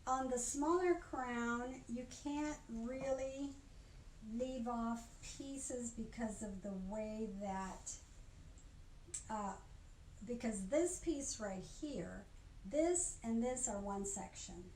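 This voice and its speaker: An older woman with a fairly high voice shows and explains craft work informally. She talks in a chatty, halting way and often rephrases as she goes. The room is clearly echoey, with a little background noise. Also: a little mellow. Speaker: craft instructor. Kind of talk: informal product demonstration. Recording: roomy echo, faint background noise.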